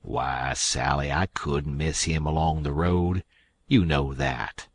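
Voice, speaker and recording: A man voicing a worried old farmer who speaks low and slow. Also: fairly even intonation, slightly rough, exaggerated voice. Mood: uneasy.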